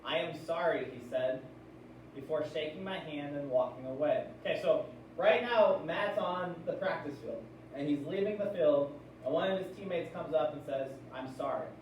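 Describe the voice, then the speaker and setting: Echoey, higher-voiced American man teaching. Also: normal speed, a little mellow, muffled recording. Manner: explanatory, often checking in with listeners with questions. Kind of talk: classroom read-aloud with discussion questions. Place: classroom. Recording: very echoey room, distant mic, noisy.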